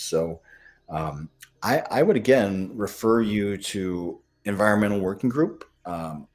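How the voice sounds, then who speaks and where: An American man from the Midwest giving informal question-and-answer health advice as a doctor, in a halting way with pauses between phrases. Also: sits low.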